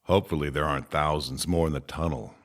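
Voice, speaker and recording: Man playing a very deep, blunt crewman. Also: fairly even intonation, fast. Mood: challenging. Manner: blunt, questioning. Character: blunt, challenging male spaceship crew member. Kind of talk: sci-fi audio drama acting.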